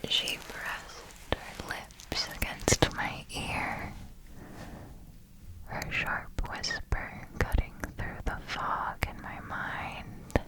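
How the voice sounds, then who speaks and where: Female performer for seasoned vampire mentor, ASMR roleplay. Whispered, extremely unhurried, formal and theatrical, doting yet menacing. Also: poor audio. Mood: solemn, haunted. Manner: slow, deliberate, theatrical, formal diction.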